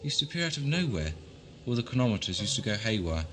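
Male actor as chatty space crewman, very animated yarn-spinner, mid pitch, noisy tape. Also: fast talker. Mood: relishing the tale. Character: talkative spacer spinning his grandfather's tales. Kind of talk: audio drama acting.

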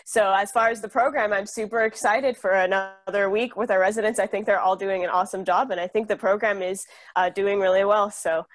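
A woman's voice: medium pitch, steady tone, a little fast, hardly any pauses. Young woman instructor, upbeat and encouraging, home video call. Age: young adult. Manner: upbeat, encouraging, laughs often. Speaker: vocal instructor. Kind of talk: casual video-call chat. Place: home room. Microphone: headphones, laptop camera.

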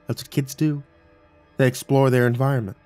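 A man's voice: medium pitch, flat delivery, a little fast, a little mellow, no echo, faint music behind, lightly acted. Guy playing a frantic mama protecting her monster kid, strained n urgent, horror audiobook. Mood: nervous bluffing. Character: desperate, fierce mother shielding her monstrous child.

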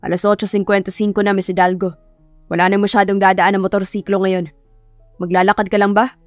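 A woman's voice: mid-range voice, flat delivery, fairly quick, no room sound, soft background music, close to an everyday voice. A woman voicing a stern, grumpy and aloof male professor in an audio love story, speaking curtly. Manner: curt, gruff, unbothered.

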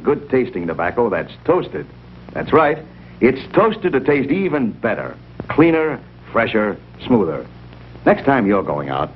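An American man delivering a 1950s television commercial in a polished salesman's style. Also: fairly low pitch. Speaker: commercial pitchman. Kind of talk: 1950s cigarette advertisement. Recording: dull 1950s TV audio, faint hum.

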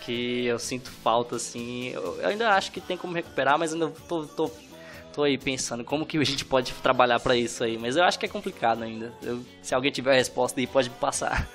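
A Brazilian man with a Northeastern accent from Ceará talks as a podcast guest at a middle pitch. He sounds laid-back and self-deprecating, laughing easily and restarting his sentences as he goes. Faint music sits under his voice. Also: a little fast, fairly bright tone. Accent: Brazilian Portuguese, Northeastern-influenced from Ceará. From Brazil, born in Fortaleza, raised in Brasília. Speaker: guest illustrator. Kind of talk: casual podcast chat.

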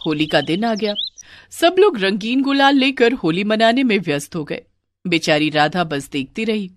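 Woman narrator with lively pitch. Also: moderate pace, a little hiss or hum. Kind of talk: scripted story narration.

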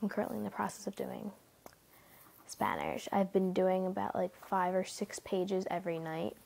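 Stuffy-nosed teen girl, creaky and rambling. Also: mid-range voice, lively intonation, moderate pace. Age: teens. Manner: rambling, filler-heavy, often hushed. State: stuffy nose from a cold. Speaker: vlogger. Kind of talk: casual late-night chat to camera. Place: bedroom at night, sitting on bed.

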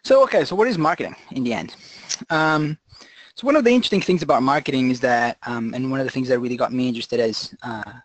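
A man's voice: medium pitch, dry recording, poor audio. Man presenting, dry sound. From Portugal. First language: Portuguese. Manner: halting, repeats words as he explains. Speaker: guest presenter, conservation marketing researcher. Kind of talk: informal webinar lecture.

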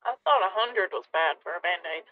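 A woman's voice: lowish voice, fairly quick, dry recording. A woman playing an emergency dispatcher in an online roleplay game, chatting casually over the radio. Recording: thin phone-like radio line.

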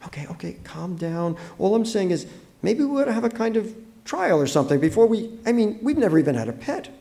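A man's voice: high voice, sing-song, quick pace, gravelly, warm tone, pitched above the performer's own voice, exaggerated voice. A man playing a defensive, cowardly husband in audiobook dialogue, placating and stammering through excuses. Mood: placating, flustered.

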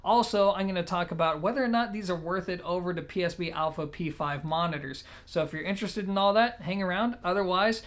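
A man's voice: high voice, fairly quick, hardly any pauses, fairly bright tone. A man in his thirties giving a casual product review, talking directly to viewers in a conversational way. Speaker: audio gear reviewer and host. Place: indoor room at home, seated.

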